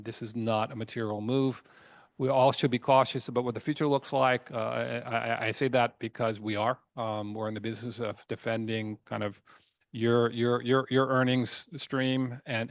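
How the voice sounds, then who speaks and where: Male executive, mid-pitched, halting but emphatic business presenter with frequent word repeats, dry recording. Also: dry recording. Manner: halting, repeats words and restarts often. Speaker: company chairman. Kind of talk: earnings call presentation, semi-scripted business talk.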